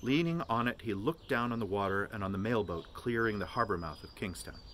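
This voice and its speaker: A man reading literary prose aloud as an expressive narrator. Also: on the low side, a little fast, slight background noise. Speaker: volunteer reader of a novel.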